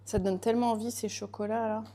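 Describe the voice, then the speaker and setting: A woman's voice: medium pitch, fairly even intonation, fast, warm tone. A woman chatting casually, hesitant, with frequent fillers and restarts. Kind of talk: casual chat. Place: lives in Portugal.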